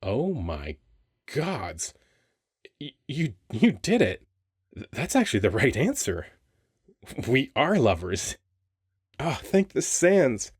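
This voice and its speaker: A man playing a handsome, playful male sphinx guarding a temple in an ASMR roleplay, talking in a teasing, expressive, conversational way. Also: medium pitch, takes it slowly, pauses often, breathy edge, no echo, studio quality, full character voice. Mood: astonished joy. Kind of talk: ASMR roleplay audio acting.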